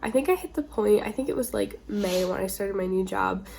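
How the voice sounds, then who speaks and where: A woman's voice: mid-range voice, brisk, gravelly, a little mellow, slight background noise. A woman getting over a cold, chatting informally with rambling asides as the host of a casual beauty vlog. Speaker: beauty video creator, host.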